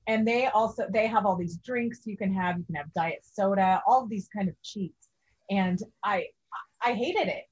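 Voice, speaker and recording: A woman's voice: medium pitch, moderate pace, slightly rough, highs cut off. A woman in her forties, a guest in a casual interview, speaking earnestly. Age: 40s.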